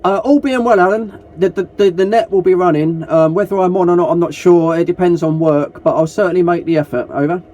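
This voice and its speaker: A man talks politely and casually as a CB operator, full of call signs and jargon. His voice sits a little high yet stays soft-edged, over faint radio noise. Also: a little fast, hardly any pauses, muffled recording. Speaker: amateur CB radio operator running a group net. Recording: noisy CB radio transmission with hiss.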